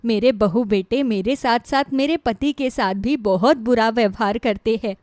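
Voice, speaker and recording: A woman voicing a gentle, sorrowful elderly mother in a cartoon. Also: on the high side, moderate pace, no echo, clean studio sound, lightly acted. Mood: sad, gentle. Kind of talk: cartoon dialogue.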